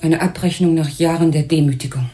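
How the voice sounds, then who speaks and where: An actress playing a proud, bitter older widow and mother in a German crime radio play, speaking in a formal, genteel, measured way. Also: low voice, dark tone, natural voice. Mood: bitter, anguished.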